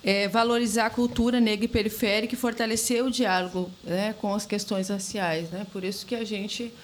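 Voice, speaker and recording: A woman with a medium-pitched voice gives a conversational interview answer. She goes hesitantly, punctuating phrases with tag questions, with faint noise in the background. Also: fairly even intonation, a little fast. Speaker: interview guest. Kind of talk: conversational radio interview talk.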